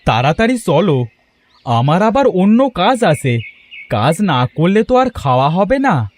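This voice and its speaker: A man's voice: sits high, sing-song, measured pace. A man voicing a poor, worried middle-aged village father in a cartoon, pleading and plaintive. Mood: matter-of-fact. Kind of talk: Bengali cartoon voice acting.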